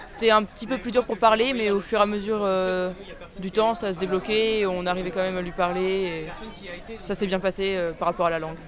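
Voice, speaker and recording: A teenage girl, a student, answering a question hesitantly and softly outdoors on a ferry. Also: mid-range voice, steady tone, a little fast, a crowd in the background. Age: teens.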